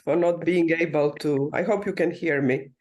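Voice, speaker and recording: A conference panelist from Croatia speaks English over a video call. The delivery is measured and careful, full of hesitant fillers and restarts. The voice sits at an ordinary middle pitch. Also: fairly even intonation, darkish tone. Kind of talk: conference panel remarks on economic policy. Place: remote, not in the conference room.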